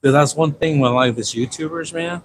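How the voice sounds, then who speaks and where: A man's voice: on the high side, moderate pace. A man in his fifties with a Californian American accent, chatting casually on a livestream in a loose, slangy, repetitive way. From San Diego, California, United States. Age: 50s. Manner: repeats himself, loose slangy storytelling. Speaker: livestream host. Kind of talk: casual rambling livestream chat. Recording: clearly noisy ambient livestream audio.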